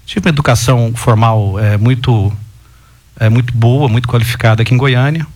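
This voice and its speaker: A man, a guest on a talk show, chatting casually and telling his family story with lively rising emphases. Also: mid-range voice, fairly quick. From Goiás, Brazil. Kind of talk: casual chat.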